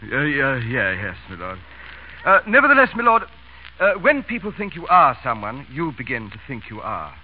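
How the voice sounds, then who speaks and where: An actor with an English accent playing a deferential but proud valet in old radio comedy, very polite and formal, with a hesitant stammer. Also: male voice, mid-range voice, huge pitch swings, normal speed. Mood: hesitant pride. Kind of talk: 1940s radio comedy acting. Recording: muffled, hissy old radio broadcast.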